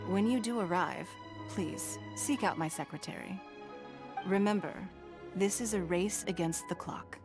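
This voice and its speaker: An actress playing a composed, powerful businesswoman who speaks in measured, formal, authoritative phrases. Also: medium pitch, lightly acted. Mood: cool, inviting. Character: poised, powerful businesswoman leader, exacting and composed. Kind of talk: video game voice acting. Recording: background music under dialogue.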